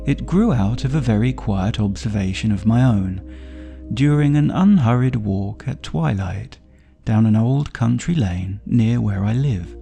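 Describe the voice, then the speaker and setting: A man narrating a sleep story for adults as a storyteller, with a soothing, gentle, unhurried delivery. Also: medium pitch, lively intonation, creaky, over music. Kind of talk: slow bedtime story narration.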